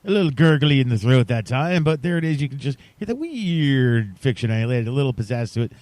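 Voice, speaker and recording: A man's voice: mid-range voice, animated, fairly quick, a little mellow, dry recording. Chatty man hosting a podcast, dry sound. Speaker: podcast host. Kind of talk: casual podcast chat addressed to listeners.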